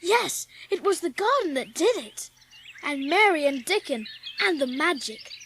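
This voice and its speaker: A woman's voice: high voice, very animated, a little slow, a bit bright, slight background noise, exaggerated voice. A woman playing an eager, proud, well-bred English boy in a dramatised audiobook. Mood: joyful, breathless.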